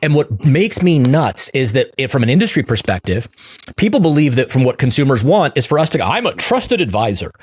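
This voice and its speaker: A man's voice: mid-range voice, very animated, brisk, breathy edge. A man hosting a podcast who talks bluntly and emphatically and swears in casual conversation. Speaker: podcast host.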